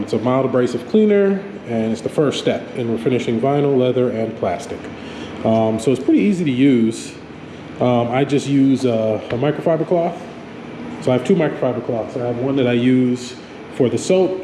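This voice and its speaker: Male host with a head cold, casual how-to explainer, roomy echo, steady fan hum behind. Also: medium pitch. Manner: relaxed, many ums and restarts. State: stuffy from a cold. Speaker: auto mechanic channel host. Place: home garage workspace. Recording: echoey room with fan noise.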